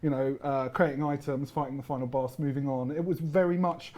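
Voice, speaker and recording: A man's voice: mid-range voice, steady tone, fairly quick, darkish tone. A man chatting casually as a game developer, explaining his thoughts on work. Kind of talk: casual chat, reflective explanation. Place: live stream room in an office.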